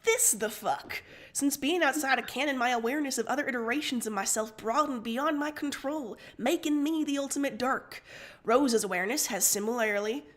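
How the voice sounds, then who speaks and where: A woman's voice: high voice, normal speed, a bit bright. A woman voicing a stubborn, pretentious man who argues defensively in an amateur story reading.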